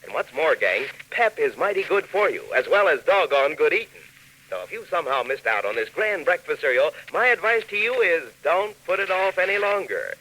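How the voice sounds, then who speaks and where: A man's voice: a little high, very animated, a little fast, pushed and bright, low-quality recording. An American man doing a chummy, enthusiastic, folksy 1940s radio commercial aimed at children.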